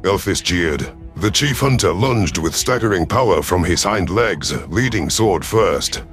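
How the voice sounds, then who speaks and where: A man reading dramatic first-person science fiction narration in a measured manner. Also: sits low, expressive, soft background music. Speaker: audio story narrator.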